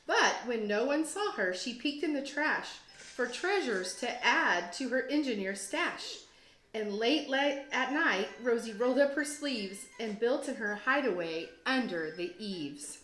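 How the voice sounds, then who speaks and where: A woman teacher reading a children's storybook aloud with expressive, deliberate storytelling phrasing. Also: a little high. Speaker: teacher, guest read-aloud host. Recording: roomy echo, music behind. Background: storybook app music.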